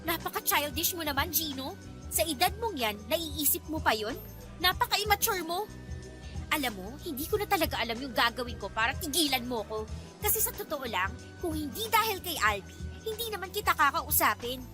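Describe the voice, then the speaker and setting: A woman's voice: high voice, expressive, brisk, music in the background. An actress playing an angry, scornful young woman who confronts and scolds a suitor in a Philippine radio drama. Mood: indignant, scornful.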